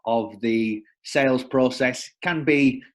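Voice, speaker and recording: A man's voice: mid-range voice, slow pace. A young man from the north of England with a northern English accent, chatting as a podcast guest from home over a remote call, friendly and a little self-deprecating. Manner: chatty, self-deprecating, repeats words as he thinks. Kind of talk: casual podcast chat.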